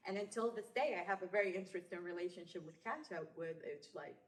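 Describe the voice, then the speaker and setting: A woman in her twenties from Somalia tells her stories in a relaxed, meandering way, full of "like" and "um". Her voice sits a little low and rings in a roomy, echoey space. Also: fast talker. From Somalia, raised in Saudi Arabia. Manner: relaxed storytelling, many fillers and likes. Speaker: podcast guest. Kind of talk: casual interview chat.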